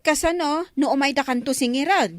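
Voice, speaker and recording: A woman's voice: high voice, a little fast, no echo, clean studio sound, close to an everyday voice. A woman acting a whiny, complaining younger sister in an Ilocano comedy drama, with an Ilocano Filipino accent. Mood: chatty.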